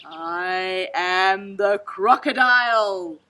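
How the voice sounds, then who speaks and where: A woman voicing a sly, menacing male crocodile with a low, drawn-out storybook voice. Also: sharp tone.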